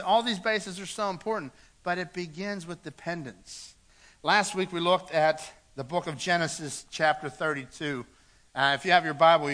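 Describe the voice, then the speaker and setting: Bright-voiced man preaching a sermon. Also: sits high. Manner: earnest, repeats words as he speaks, reads scripture aloud. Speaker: pastor. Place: church.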